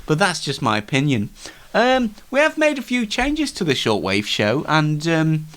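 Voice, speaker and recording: A man hosting a comedy show in a casual, self-deprecating and halting way. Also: a little high, huge pitch swings, a bit bright. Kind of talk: casual, scripted comedy interview and chat.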